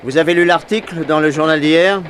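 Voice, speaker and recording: Man acting excitable ex-convict, higher voice, noisy recording. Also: a little fast, lightly acted. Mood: eager, naive. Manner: theatrical, expressive storytelling. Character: excitable pardoned ex-convict, talkative, bitter, haunted by childhood. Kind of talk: 1960s French radio drama acting. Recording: noisy old radio recording.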